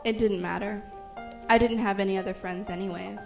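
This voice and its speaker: A woman's voice: mid-range voice, steady tone, fairly quick, creaky. A woman narrating a scripted first-person story in a confiding tone. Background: music.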